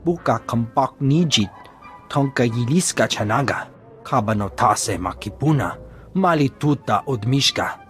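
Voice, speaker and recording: A man's voice: medium pitch, expressive, a little slow, soft background music, close to an everyday voice. An actor voicing a talkative male alien engineer in a video game, rattling off syllables in an invented tongue. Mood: chatty.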